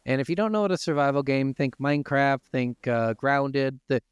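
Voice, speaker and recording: Male gaming podcast co-host, mid-pitched and clean-toned, casual, profane delivery, very dry sound. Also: moderate pace, clean tone, no echo. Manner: informal, freely profane. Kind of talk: casual video game discussion.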